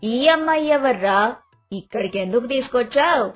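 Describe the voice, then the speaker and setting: Proud, disapproving mother-in-law played by a woman, high voice, cartoon moral tale, music underneath. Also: animated, moderate pace, breathy edge. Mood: questioning. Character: stern, proud middle-class mother-in-law, disapproving and suspicious. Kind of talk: cartoon moral story voice acting. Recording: dialogue over background music.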